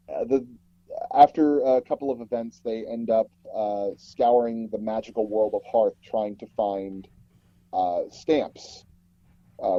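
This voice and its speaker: A man with a low, mellow and husky voice talks as an interview guest. He tells stories casually, with hesitant pauses along the way. The recording is dull and muffled. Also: dry recording. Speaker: interview guest, audio drama producer.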